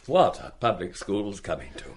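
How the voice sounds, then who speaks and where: An actor playing a youngish British science master in a radio play, dry and teasing with an understated authority. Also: male voice, on the low side, big pitch swings, normal speed, husky, heavily acted. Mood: dry, probing. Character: dry, wry youngish male public-school science master.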